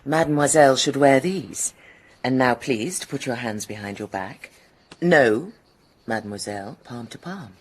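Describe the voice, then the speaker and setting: A cold, scheming woman, issuing curt commands. Her voice sits extremely low and sounds husky, as in a radio drama. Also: normal speed. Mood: commanding.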